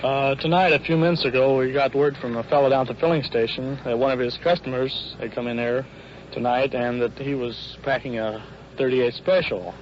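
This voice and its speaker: A man's voice: medium pitch, steady tone, a little fast. A male police officer giving an unscripted, matter-of-fact briefing out in the field on an old radio recording. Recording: muffled 1950s field radio recording, faint noise.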